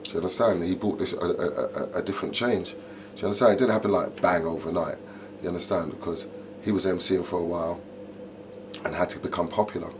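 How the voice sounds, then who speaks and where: Very low male voice, middle-aged, chatty and animated, clear background noise. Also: fast. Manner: animated, repeats "you know" and "do you understand". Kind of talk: interview, casual storytelling.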